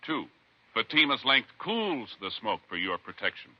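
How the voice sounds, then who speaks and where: A man's voice: mid-range voice, sing-song, moderate pace, husky. A man announcing in a formal 1950s radio style. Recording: dull, dry old radio recording.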